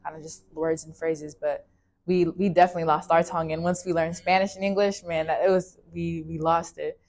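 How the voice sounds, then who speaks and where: A young woman from California, telling her story in a casual interview with a relaxed, filler-heavy way of talking. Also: low voice, a little fast. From California, USA. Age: young adult. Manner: relaxed, with many fillers like "like" and "you know".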